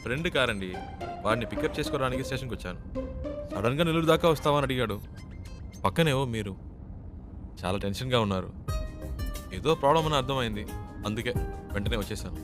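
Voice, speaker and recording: A man's voice: medium pitch, expressive, fast talker, pauses often, close to an everyday voice. A man acting an earnest young man in love on a road trip, in naturalistic film dialogue. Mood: polite, earnest. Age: young adult. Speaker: film actor. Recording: film dialogue with music behind. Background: music.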